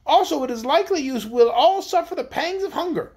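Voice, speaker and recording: A shrill, imperious queen from a storybook, acted out by a woman narrator, pitched very high. She is scornful and short-tempered, and she hands down commands as if to an army beneath her. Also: animated, fairly quick, darkish tone. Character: haughty, imperious, quick-tempered young queen leading an army. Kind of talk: storybook character voice.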